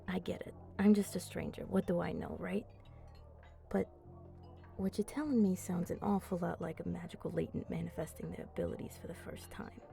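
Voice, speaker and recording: A woman voicing a flirty, teasing female vampire in an ASMR roleplay, with a breathy voice that often drops to a whisper. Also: medium pitch, mellow. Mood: soothing, apologetic. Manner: slow, teasing, coaxing.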